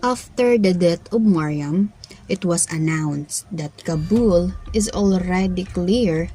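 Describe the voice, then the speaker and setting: A woman's voice: on the low side, mellow, husky, low-quality recording. A woman narrating a student radio play in a plain storytelling manner. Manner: slow, storytelling.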